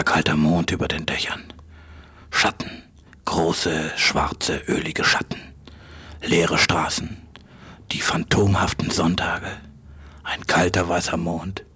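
A man speaks in a very low register with a breathy, husky edge. He delivers a literary monologue for radio in clipped, stark phrases that come back in repetition. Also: pushed and bright. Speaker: writer.

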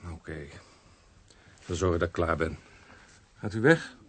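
Male sidekick detective, Netherlands Dutch radio drama: informal grumbler, husky, lively intonation. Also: deep voice, measured pace, heavily acted. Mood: resigned, sheepish. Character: grumbling, easygoing male police detective, the inspector's subordinate.

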